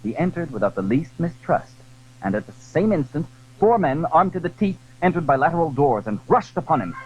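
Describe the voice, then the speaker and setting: Animated male narrator of adventure fiction. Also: fairly high pitch, fairly quick, husky, highs cut off. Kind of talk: dramatic scripted storytelling of a classic adventure novel.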